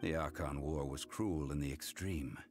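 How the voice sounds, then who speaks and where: A man playing a calm, grave consultant in a video game, speaking formally and with solemn measure. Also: rumbling low, normal speed, faint music behind. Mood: somber, measured. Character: calm, grave, worldly male consultant recounting hard truths. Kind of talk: English video game voice acting.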